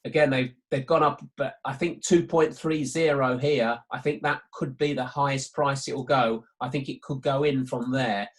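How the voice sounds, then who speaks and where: Male trading tutor, mid-pitched voice, hesitant and repetitive, talking through live markets on an online call. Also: fairly even intonation, normal speed, few pauses. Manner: hesitant, repetitive, thinking aloud. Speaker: sports betting trading tutor. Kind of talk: live market walkthrough commentary.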